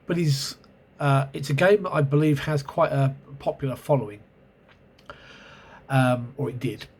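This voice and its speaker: A man's voice: mid-range voice, measured pace, slightly rough. Congested man rambling through a game review. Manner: rambling, hesitant, many ums and self-corrections. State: congested with a cold and sore throat. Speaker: channel host reviewing role-playing games. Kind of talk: casual spoken video review. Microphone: camera mic.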